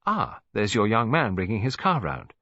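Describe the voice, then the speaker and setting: A man plays a kindly elderly English grandmother in an audiobook. She is chatty, fond and gently scheming, with a lilting, slightly husky delivery. The sound is dry and a bit dull. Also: on the low side, wildly swooping pitch, normal speed, no room sound, heavily acted.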